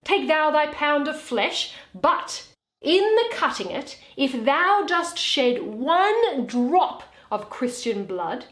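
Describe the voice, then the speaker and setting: A woman playing a stern, commanding young lawyer, speaking formal Shakespearean verse with deliberate emphasis. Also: high-pitched, sing-song, fairly bright tone, heavily acted. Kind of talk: Shakespearean stage verse reading. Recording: roomy, echoing room sound.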